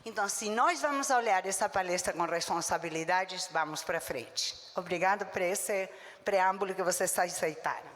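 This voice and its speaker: A woman's voice: lowish voice, normal speed, hardly any pauses, a bit bright. Woman doc giving a blunt, punchy lecture, big echoey conference hall. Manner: emphatic and blunt, with short punchy verdicts. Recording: long reverb of a lecture hall.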